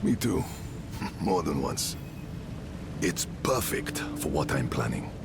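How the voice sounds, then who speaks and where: A man's voice: very deep voice, sing-song, takes it slowly, creaky, very noisy, heavily acted. An actor playing a wry, fatherly middle-aged mercenary leader and smith in a video game. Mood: friendly. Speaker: game character. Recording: game soundtrack music behind. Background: game music.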